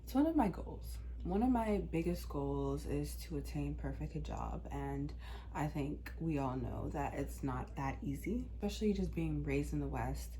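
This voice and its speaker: Candid young woman vlogging, slightly low voice. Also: very animated, normal speed, warm tone, slight background noise, rough recording. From Washington, D.C. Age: 20s. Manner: candid, chatty, many fillers like "um" and "like". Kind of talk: casual personal storytelling.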